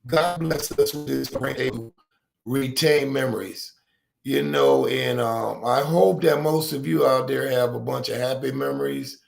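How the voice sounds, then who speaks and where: Deep gravelly older man, halting. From Rochester, New York, USA. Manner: reflective, halting, many ums. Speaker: guest. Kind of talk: personal recovery story.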